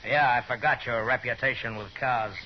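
A man's voice: medium pitch, steady tone, normal speed, natural voice. An actor playing a stern, weary Old West lawman in a 1950s radio western, terse, commanding and dry. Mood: hard, commanding. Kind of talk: 1950s radio western acting and first-person narration. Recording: muffled old 1950s radio recording, noisy, music.

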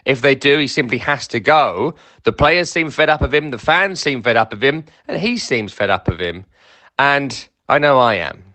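A man's voice: medium pitch, animated, normal speed, pushed and bright. A man giving an emphatic, exasperated football monologue in short punchy sentences, like a broadcaster who is also a fan.

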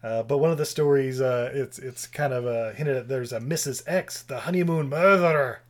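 A man's voice: mid-range voice, normal speed. A man in his thirties casually recapping a movie with friends, laughing often and rambling. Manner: laughing, rambling recap with silly quoted voices. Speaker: podcast host recapping a movie. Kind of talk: casual chat.

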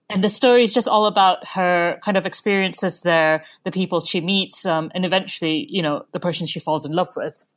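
Woman from malaysia chatting about a novel she loves, lots of ums, keeps restarting. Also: fairly low pitch. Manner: hesitant, many ums and restarts. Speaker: author. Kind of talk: casual spoken book recommendation.

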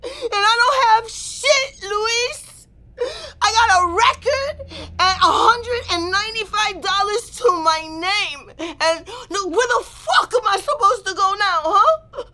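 A woman's voice: extremely high pitch, animated, slow pace, bright, forceful tone, heavily acted. An actress playing a bitter, foul-mouthed woman just out of prison, in naturalistic audio drama with slangy, emphatic delivery. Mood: distraught, furious. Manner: streetwise, slangy, profane, emphatic.

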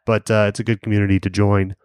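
A man's voice: fairly low pitch, little pitch movement, quick pace, a little mellow, clean tone, no echo, studio quality. A man co-hosting a podcast, chatting casually with plenty of fillers and restarts. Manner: rambling, lots of fillers and restarts. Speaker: podcast co-host. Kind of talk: casual chat.

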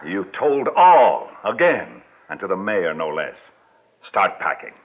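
An actor playing a smooth-talking, grandiose older male swindler in a florid old-time radio drama style. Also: low-pitched, very animated, takes it slowly, a little hiss or hum, heavily acted. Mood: scolding. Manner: florid, pompous. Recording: thin, phone-like old radio recording.

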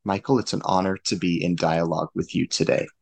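A man hosting a podcast interview, formal and measured in his questions. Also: sits low, steady tone, gravelly, a little mellow, husky. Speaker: podcast host.

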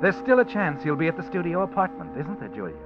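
A man's voice: fairly high pitch, wildly swooping pitch, fast. A man narrating a radio drama in an intense, insinuating second-person style, on a muffled old radio recording with music underneath.

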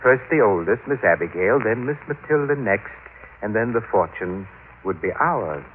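A man acts out a butler in a vintage radio play, his voice low and soft-spoken. He is servile on the surface and coldly insinuating underneath. The recording is thin and tinny, with a light layer of noise. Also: lively intonation, normal speed, lightly acted. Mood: insinuating, scheming. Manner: deferential, insinuating. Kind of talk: old-time radio drama acting.

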